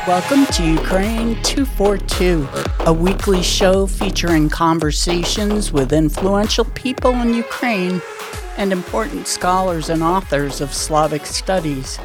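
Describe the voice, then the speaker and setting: A woman's voice: on the low side, music in the background. Woman hosting a radio interview. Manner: measured, formal introductions. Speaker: radio host.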